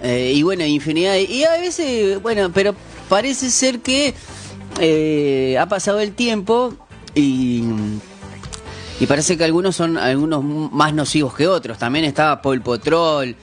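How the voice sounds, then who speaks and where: A middle-aged man with a Uruguayan accent hosting a casual radio chat, halting as he searches for words. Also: fairly high pitch, big pitch swings. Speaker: radio host. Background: music in the background.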